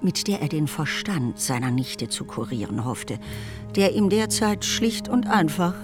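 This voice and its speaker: Measured woman narrator over music. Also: deep voice, sing-song. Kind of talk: scripted literary narration in a radio drama.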